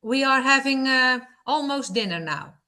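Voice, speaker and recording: A woman with a high, bright voice. She chats casually, halting now and then to find words in a language that is not her first. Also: lively intonation. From Netherlands. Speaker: session participant. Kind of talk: casual chat.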